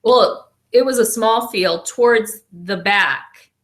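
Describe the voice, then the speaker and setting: A woman, co-hosting a casual chat and telling a story in a relaxed way. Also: a little high, slow. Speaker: co-host.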